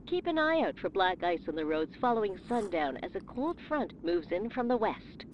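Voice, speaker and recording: An upbeat female TV weather forecaster in an audio drama. She presents in a polished way at a medium pitch. The sound is dull, as if from a television set, with faint noise behind it. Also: sing-song, moderate pace. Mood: upbeat, informative. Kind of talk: broadcast weather report.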